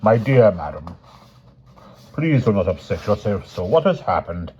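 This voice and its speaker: A genial gentleman investigator from an audiobook mystery, acted by a man. He speaks with formal, old-fashioned courtesy and a sociable, amiable manner. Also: on the low side, big pitch swings, a little slow, lo-fi sound, slight background noise. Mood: concerned.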